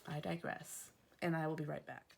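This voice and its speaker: Casual home vlogger, woman in her thirties; gravelly, slightly stuffy, wanders off topic. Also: deep voice, steady tone, moderate pace, noisy background. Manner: chatty, rambling, digresses often. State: stuffy from allergies. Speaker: planner vlogger. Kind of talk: casual chat while decorating a planner. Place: home, indoors.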